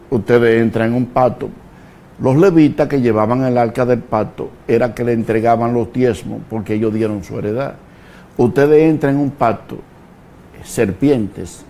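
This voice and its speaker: A man preaching a fiery sermon, slow and deliberate, breaking into sudden loud shouted peaks. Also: medium pitch.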